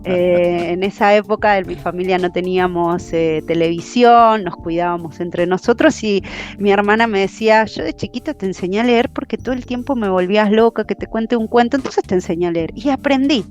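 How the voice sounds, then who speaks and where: A woman from Argentina with a Buenos Aires accent, a coaching trainer telling her story in an interview, talkative and quick to laugh. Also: mid-range voice, darkish tone. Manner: talkative, laughs often, self-correcting.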